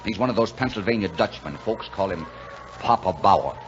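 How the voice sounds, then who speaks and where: An actor playing a kindly, gruff, lonely truck driver in folksy 1940s radio drama style. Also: male voice, on the low side, expressive, moderate pace, natural voice. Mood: reassuring. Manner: folksy, plainspoken. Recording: muffled, noisy 1940s radio recording.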